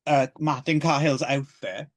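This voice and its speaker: A man's voice: a little high, steady tone, measured pace. A man co-hosting a podcast, chatting casually and rambling about films, with many hesitations and asides. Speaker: podcast co-host.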